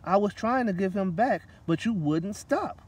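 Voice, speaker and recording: A man's voice: sits high, animated, normal speed, slightly rough. A man voicing a great big hairy but kindly gorilla in a storybook, with emphatic delivery. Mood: kind, reassuring. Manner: bellowing, emphatic. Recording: distant microphone, light outdoor noise.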